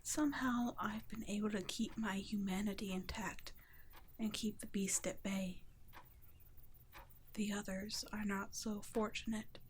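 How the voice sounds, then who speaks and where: Woman voicing a whispering, slow, tender vampire guardian. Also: mid-range voice, steady tone, a little hiss or hum, natural voice, poor audio. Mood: somber warning. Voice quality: soft, breathy half-whisper. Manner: slow, hushed, halting, tender. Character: gentle, guilt-ridden female vampire, protective guardian. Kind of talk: ASMR roleplay, scripted intimate monologue.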